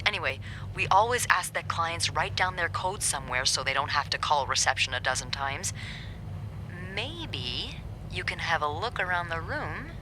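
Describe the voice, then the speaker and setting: A woman's voice: sits low, noisy background. A woman playing a sly, teasing, self-assured hotel receptionist in a video game, with a wry, confident delivery.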